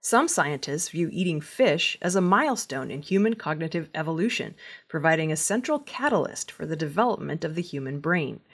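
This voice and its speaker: A woman's voice: low voice, lively intonation, brisk, husky. A woman reading scripted news as a newsreader. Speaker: news reader.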